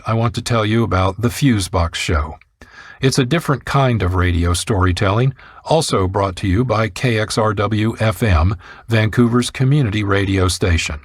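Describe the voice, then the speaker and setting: A man's voice: on the low side, dry recording, studio quality. A man reading scripted narration as a radio producer and host.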